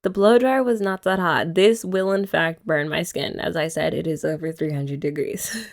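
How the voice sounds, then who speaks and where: A woman's voice: sits low, moderate pace. A young woman hosting an ASMR girlfriend roleplay, chatty and giggly as she rambles. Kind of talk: soft-spoken ASMR roleplay chat.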